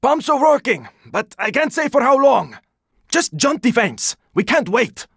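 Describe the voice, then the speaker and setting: A gruff male survivor from a zombie shooter game, battle-worn and protective. His voice is raspy and pitched very high for a man, swinging widely as he delivers terse combat callouts. The sound is close and dry. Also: very animated, unhurried, no room sound, full character voice. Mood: terse, focused. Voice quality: gravelly, raspy. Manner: terse, intense callouts, often strained or shouted. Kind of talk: video game combat voice lines.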